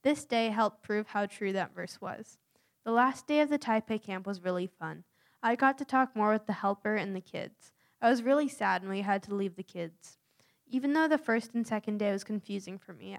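A young woman from the United States reading a prepared personal account aloud in an earnest, steady way. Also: medium pitch, normal speed, gravelly. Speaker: youth mission volunteer.

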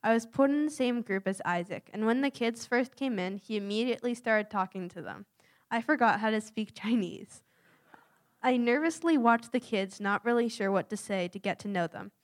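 Young woman volunteer, reads her written story aloud, earnest and steady, mid-range voice. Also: mid-range voice. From United States. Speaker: youth mission volunteer. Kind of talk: reading a prepared personal account aloud.

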